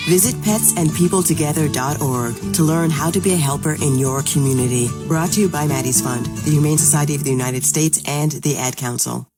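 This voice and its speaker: A woman's voice: deep voice, a little fast, hardly any pauses. A woman reading a scripted public service ad as its announcer. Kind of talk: scripted ad narration. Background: music bed.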